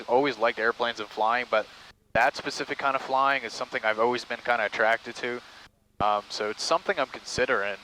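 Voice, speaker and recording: A man's voice: mid-range voice, rough recording. A male student pilot talks casually over an intercom in a small plane. His mid-range voice is hesitant as he thinks things through aloud. There is a faint engine hum behind him. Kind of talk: casual cockpit chat. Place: inside a small propeller plane in flight. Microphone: aviation headset intercom. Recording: steady engine noise behind cockpit audio.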